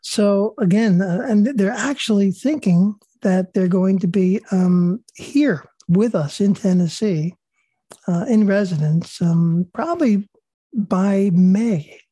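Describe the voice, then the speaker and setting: A woman hosts a small online faith group over a video call, moving from steady, measured recited prayer to loose, filler-filled chat. Her voice is mellow and a little husky, and the sound is close and dry, with no room around it. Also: mid-range voice, no echo. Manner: calm and measured, with many fillers and restarts in chat. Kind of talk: leading prayers, then casual group chat.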